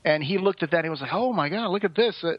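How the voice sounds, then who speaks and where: A male podcast co-host with a fairly high voice talks casually and with enthusiasm, in the manner of someone sharing anecdotes. The sound is slightly muffled and lo-fi. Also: lively intonation, very fast. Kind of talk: casual chat. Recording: dull, roomy recording.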